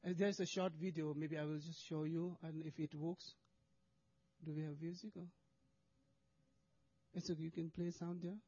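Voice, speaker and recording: A man gives a talk in hesitant English, restarting words and filling pauses. His voice sits a little high and sounds mellow. The recording is slightly dull and lo-fi. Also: flat delivery. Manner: halting, many restarts and fillers, non-native English. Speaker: monastic speaker presenting charity work. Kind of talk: presentation to an audience with video.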